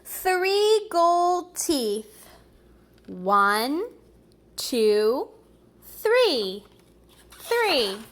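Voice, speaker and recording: A woman reading picture books aloud to young children in an expressive, deliberate way, with little asides. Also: high voice. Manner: slow, deliberate, expressive, pausing over words for young listeners. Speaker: preschool teacher reading aloud.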